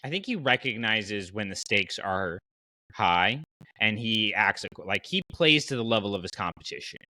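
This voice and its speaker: A man hosting a casual fan podcast, talking in an animated, colloquial way and explaining his reasoning at length. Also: fairly low pitch, moderate pace, dry recording, poor audio. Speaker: podcast host.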